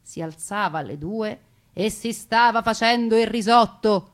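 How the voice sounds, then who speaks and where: A woman narrating a scripted memoir as a stage storyteller, expressive and with dramatic pauses. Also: on the high side. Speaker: theatre actress and storyteller. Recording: music under the voice. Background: music.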